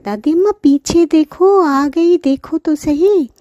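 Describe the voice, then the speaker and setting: A woman voicing a clever young girl in a children's cartoon, calling out and coaxing in drawn-out pleas. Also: high-pitched, warm tone, no echo, highs cut off, voice pushed up. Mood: urging.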